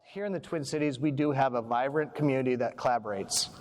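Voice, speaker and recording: A man's voice: mid-range voice, fast, over loud music. Male moderator in a reverberant hall. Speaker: panel moderator. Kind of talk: casual event moderating. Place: event room before an audience. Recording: reverberant hall, faint background noise.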